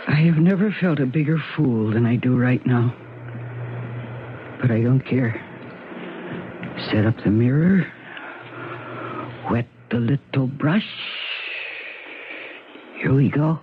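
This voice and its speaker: A woman's voice: very deep voice, animated, slow pace, long pauses, noisy background, full character voice. A woman playing a distraught, weary mother and actress, rambling and self-mocking in a theatrical 1970s radio drama style. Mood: resigned, wry. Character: distraught weary actress mother recording her suicide tape. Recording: muffled old radio broadcast with music.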